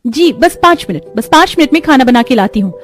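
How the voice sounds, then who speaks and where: A woman voicing a fretful young daughter-in-law who pleads and worries in an animated story. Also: high voice, brisk. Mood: flustered, apologetic. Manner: fretful, pleading, talks to herself. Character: anxious young daughter-in-law hiding a cooking secret. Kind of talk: Hindi animated moral story dialogue.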